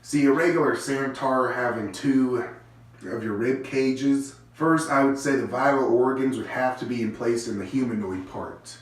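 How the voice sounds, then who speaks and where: Male host with a stuffy cold, low-energy rambling musings, very echoey bathroom recording, a bit off-mic. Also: moderate pace, recorded from a distance. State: congested, stuffy-nosed. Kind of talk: casual speculative monologue. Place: small home bathroom.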